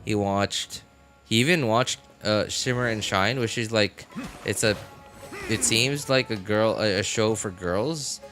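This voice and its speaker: A man in his thirties chatting casually on a stream, telling a story in a halting, repetitive way. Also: fairly low pitch, fairly bright tone. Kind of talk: casual rambling livestream chat. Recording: game music and dialogue behind the voice. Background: video game music and character voices.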